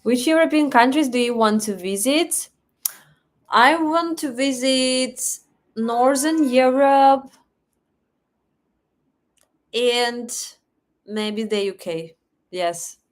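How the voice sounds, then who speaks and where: Slow-talking Russian woman livestreamer. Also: a little high. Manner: chatty, reads chat questions aloud and thanks donors. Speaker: livestream host. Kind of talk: live stream chat reading comments.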